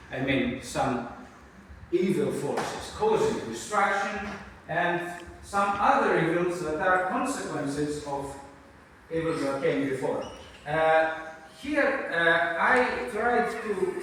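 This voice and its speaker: A middle-aged man with a Greek accent giving an unscripted university conference talk, halting with many fillers and restarts and a dry self-deprecating humor. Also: a little high, fairly bright tone, slight background noise. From Greece. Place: large university lecture hall. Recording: echoey lecture hall recorded from far off.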